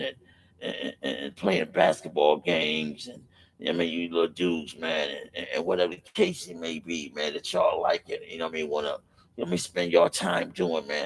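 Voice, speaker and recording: A middle-aged man with a raspy voice, speaking in an impassioned, profane monologue on a podcast, filling his pauses with "man" and circling back through restarts. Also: deep voice, very animated, poor audio. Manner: rambling with restarts. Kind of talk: impassioned street-life monologue.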